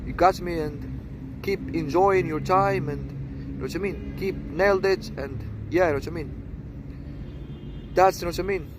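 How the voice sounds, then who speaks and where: A man of about thirty-one with a Moroccan accent, rambling to viewers in halting short phrases and constantly adding tag questions. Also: high-pitched, background noise. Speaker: seduction coach introducing own channel. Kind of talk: rambling vlog monologue.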